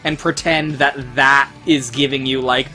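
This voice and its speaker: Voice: medium pitch, fairly even intonation, unhurried, pushed and bright, soft background music. A co-host of a gaming video, chatting casually, swearing often and ranting with heat about art and culture. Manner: swears a lot, rants with heat. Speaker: let's play co-host. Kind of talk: casual chat while gaming.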